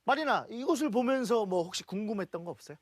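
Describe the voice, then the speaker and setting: A man's voice: high voice, animated, fast, dry recording. A man hosting a temple tour outdoors, reacting eagerly to a storyteller and asking lots of questions. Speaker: host. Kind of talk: casual chat.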